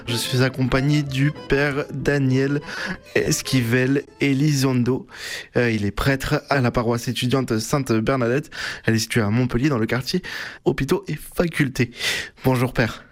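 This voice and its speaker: A man's voice: mid-range voice, fairly even intonation, moderate pace, soft background music. A man hosting an interview and asking polite, formal questions, with some fillers. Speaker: interviewer, host.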